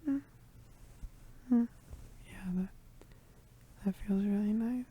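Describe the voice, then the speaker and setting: A performer voicing a shy, needy, affectionate young werewolf roommate in a roleplay ASMR, with soft, breathy, halting, giggly speech that often drops to whispers. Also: mid-range voice, steady tone. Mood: bashful, grateful. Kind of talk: roleplay ASMR, intimate one-sided dialogue.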